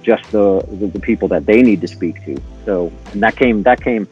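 A middle-aged man giving an informal, halting technical walkthrough as a podcast guest, step by step. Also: lowish voice, darkish tone, highs cut off, faint music behind. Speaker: podcast guest, intercom engineer.

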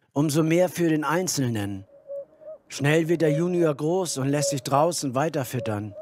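An actor narrating a radio play as a cold, didactic man who speaks deliberately. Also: on the high side, noisy background. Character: cold male lecturer on ruthless survival. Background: music.

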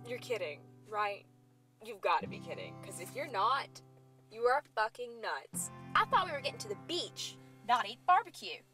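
A high, very animated voice of an exasperated wife played by an actress. She is blunt, emphatic and sharp-tongued, in a drama scene with music underneath. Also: normal speed. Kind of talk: acted drama dialogue.

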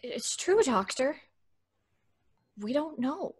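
A woman's voice: sits high, big pitch swings, takes it slowly, slightly rough, exaggerated voice. A woman playing an earnest, fearful young woman in an old-time radio drama, speaking with dramatic insistence. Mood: earnest, pleading.

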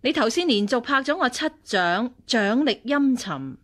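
An actress playing a stern, commanding Buddhist nun and martial arts master in a radio drama, speaking in an imperious, interrogating way. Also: female voice, on the high side, animated, measured pace, fairly bright tone. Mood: stern, probing. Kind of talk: Cantonese radio drama acting.

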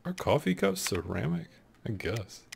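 A man in his thirties with a deep, low voice, chatting casually as he streams from his desk at home. He jokes and thinks out loud at an easy pace. Faint keyboard and room noise sit behind him. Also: sing-song, normal speed, creaky. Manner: laid-back, joking, thinking aloud. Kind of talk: casual gameplay commentary.

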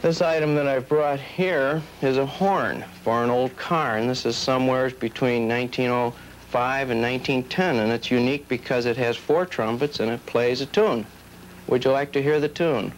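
A man's voice: medium pitch, fairly even intonation, moderate pace. Man demonstrating, faintly noisy tape. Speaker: collector showing an item. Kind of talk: demonstration talk. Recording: noisy old videotape.

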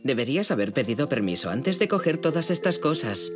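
A mild zebra dad from a children's cartoon , voiced by a man. His delivery is parental and measured yet lively in pitch, with music under it. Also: lightly acted. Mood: mildly reproving. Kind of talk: animated children's cartoon dubbing.

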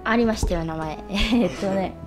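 A woman's voice: mid-range voice, normal speed. A woman co-hosting a radio show, chatting casually and reading out listener mail. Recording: music playing behind the voice. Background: background music.

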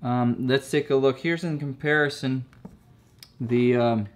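A man casually reviewing a chess set at a table indoors, talking slowly with many fillers and repeated words. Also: mid-range voice, fairly even intonation.

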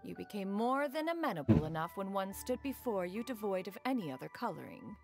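An ancient crane adeptus in a woman's form for a video game. She is proud yet tender, with measured, archaic, very formal phrasing. Music plays behind her. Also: mid-range voice, big pitch swings, vocal fry, darkish tone. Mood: tender, wistful. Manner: formal, archaic, calls herself "one", measured. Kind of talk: scripted video game dialogue.

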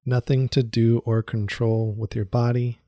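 A man leading a guided meditation in a soothing, unhurried manner with long pauses and repeated phrases. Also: mid-range voice, little pitch movement, dark tone, no echo, clean studio sound. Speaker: meditation guide, yoga teacher. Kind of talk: guided meditation, calm instruction.

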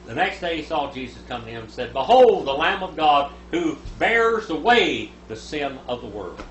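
A man in his seventies teaching the Bible at a lectern, reading scripture aloud and voicing its speakers louder. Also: on the high side, sing-song, normal speed. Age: 70s. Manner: reads scripture aloud, rising loud for quoted speakers. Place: small room with a lectern. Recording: echoey room, noticeable hum.

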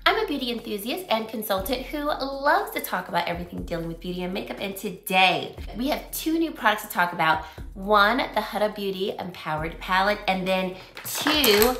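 Upbeat woman, slightly raspy, roomy sound. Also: medium pitch, a bit bright. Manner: chatty and upbeat, detailed product talk. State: slightly raspy from losing her voice. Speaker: beauty vlogger. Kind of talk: casual makeup review. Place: small home room with shelves. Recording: roomy echo.

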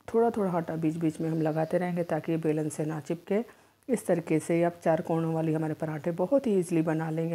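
A woman's voice: on the low side, dark tone, breathy edge. A woman giving a step-by-step home cooking voice-over in an instructional manner. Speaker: home cook, cooking channel host.

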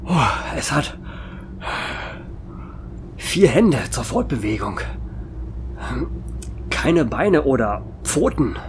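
A man's voice: mid-range voice, sing-song, very slow, pauses often, slight background noise. A man acting a grim, alarmed investigator in a horror audio drama. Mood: grim unease. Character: alarmed male investigator examining a monster carcass. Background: eerie background music.

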